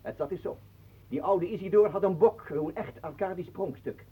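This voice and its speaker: A man's voice: high voice, normal speed, dark tone, breathy edge. An actor playing a sly, teasing showman and storyteller in a theatrical radio drama. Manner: theatrical, insinuating. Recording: muffled, phone-like old radio recording.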